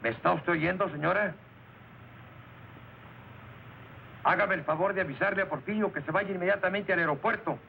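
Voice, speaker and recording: An actor playing a genial, self-important Mexican villager with an accent, mixing broken English and Spanish in an old-time radio drama. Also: male voice, sits high, racing, gravelly. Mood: exasperated. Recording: noisy, dull old radio recording.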